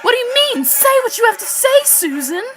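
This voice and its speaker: Woman acting a brash 1940s radio housewife, very high voice, swooping intonation, defensive, slangy, theatrical. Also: very animated, brisk. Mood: upset, defensive. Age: teens. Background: music.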